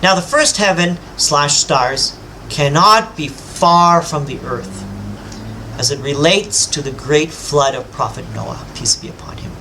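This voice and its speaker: Combative man lecturing with a bright, tense voice. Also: on the high side, a little slow, slight background noise, poor audio. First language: English. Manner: emphatic, lecturing, addresses opponent directly; boastful, mocks his own ego. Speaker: self-styled religious teacher rebutting a scholar. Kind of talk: combative rebuttal monologue.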